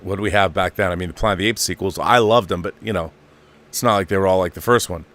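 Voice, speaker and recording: A man's voice: low-pitched, fast talker, fairly bright tone. A man in his fifties hosting an online show, talking off the cuff in a casual, emphatic fan monologue and repeating phrases for stress. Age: 50s.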